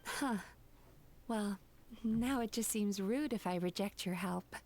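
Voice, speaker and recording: A woman voicing a reserved, proud service provider in a video game, speaking in a cool, measured way. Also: medium pitch, darkish tone, a little hiss or hum.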